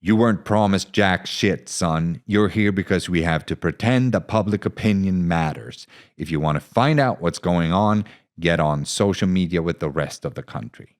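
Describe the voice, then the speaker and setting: A man's voice: low voice, moderate pace, close to an everyday voice. A man voicing a stern, hostile army captain in an audio story, barking with contempt for the press. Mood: scornful. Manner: barking, scornful.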